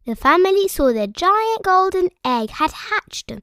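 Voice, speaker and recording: A woman's voice: very high-pitched, sing-song, unhurried, fairly bright tone, no echo, clean studio sound, voice pushed up, heavily acted. A woman voicing a confident, bubbly little girl piglet in a children's storybook. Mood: dramatic. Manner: bubbly, eager.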